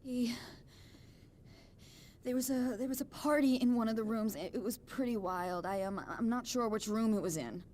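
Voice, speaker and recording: A fairly high-pitched actress as a young woman in a thriller game, edgy and defensive. Her delivery is naturalistic and hesitant, with a stubborn streak under the nerves. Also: normal speed, a little mellow, a little hiss or hum, natural voice. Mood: nervous, evasive. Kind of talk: naturalistic dramatic game acting.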